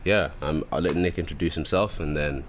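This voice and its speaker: Young male podcast host, low-pitched voice, loose slangy rambling delivery. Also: brisk, a little hiss or hum. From Zimbabwe. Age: 20s. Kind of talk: casual chat.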